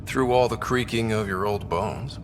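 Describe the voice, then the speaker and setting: An actor playing a young male space explorer in a video game, wry and warm, given to dry banter. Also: lowish voice, normal speed, slight background noise, lightly acted.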